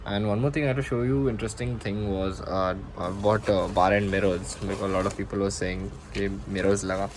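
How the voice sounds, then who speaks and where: A man with an Indian accent and a fairly low voice walks viewers through his gear in a casual, off-the-cuff vlog. He often pauses mid-sentence to find his words. The recording has a faint layer of background noise. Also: steady tone. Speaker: motovlogger presenting own gear. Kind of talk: casual unscripted product show-and-tell.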